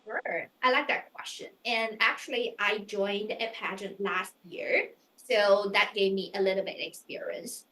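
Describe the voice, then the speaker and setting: A woman's voice: mid-range voice, measured pace, pushed and bright, roomy sound, rough recording. A young woman from China, answering practice pageant interview questions in an earnest, searching way full of fillers. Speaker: pageant contestant.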